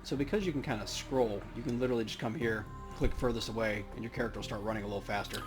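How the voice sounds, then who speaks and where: A man's voice: lowish voice, racing. A man hosting a let's play, giving casual, playful commentary on the game. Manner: joking, playful. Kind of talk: casual gameplay commentary and read-aloud. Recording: game music under the voice.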